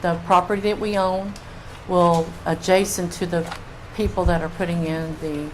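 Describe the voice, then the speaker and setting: A woman's voice: on the low side, fairly even intonation, normal speed, poor audio. An older woman giving informal public testimony as a resident. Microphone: public comment microphone. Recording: faint room noise on meeting mic.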